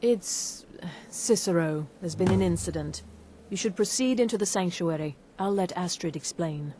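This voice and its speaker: A woman's voice: lowish voice, moderate pace, background noise, lightly acted. A woman playing a composed, solemn assassins' guild agent in a fantasy video game, speaking formally and with measure. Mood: grave. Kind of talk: video game character dialogue.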